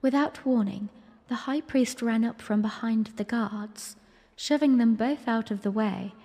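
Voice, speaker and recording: Woman as a high-voiced princess in long reverb. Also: measured pace, warm tone. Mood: puzzled, storytelling. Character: young princess, excitable, wondering, recounting her memory. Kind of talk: dramatic first-person audio drama narration.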